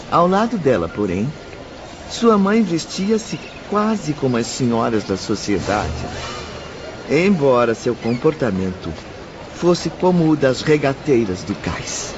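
A man giving measured, literary narration for a radio drama. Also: mid-range voice, big pitch swings, noisy background. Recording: dull recording with music behind.

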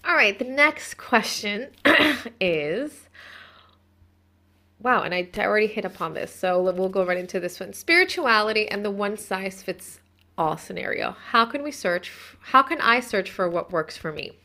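A woman's voice: mid-range voice, animated, normal speed, bright, forceful tone. A woman in her thirties, a host talking casually to her viewers about spirituality, chatty and meandering, often breaking off mid-sentence to restart. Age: 30s. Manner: chatty, meandering, self-interrupting. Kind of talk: casual spiritual talk to camera.

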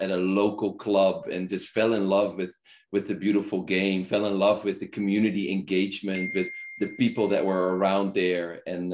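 A middle-aged man from the Netherlands, a podcast guest, telling his story in a relaxed, rambling way full of fillers and restarts. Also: sits low. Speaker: podcast guest, football club founder. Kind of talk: casual conversational storytelling.

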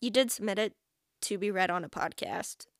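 A woman in her twenties talks as a solo podcast host in a chatty, informal way. Her voice sits at a middle pitch for a woman and peppers sentences with "like". The sound is dry, with hardly any room echo. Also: moderate pace. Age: 20s. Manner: chatty, informal, heavy use of "like". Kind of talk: casual solo podcast chat.

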